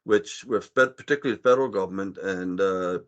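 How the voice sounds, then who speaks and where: Deep-voiced earnest man. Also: fast talker, muffled recording. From Canada. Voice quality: deep, strong voice. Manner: earnest, repeats words, gets loud when excited. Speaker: panelist. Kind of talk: panel discussion.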